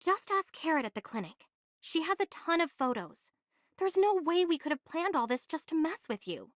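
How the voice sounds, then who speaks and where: A woman's voice: very high-pitched, very animated, moderate pace, no echo, clean studio sound. A woman voicing a level-headed, assertive young envoy in an anime game, speaking in an earnest, articulate way. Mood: doubtful, challenging. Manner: earnest, articulate, matter-of-fact.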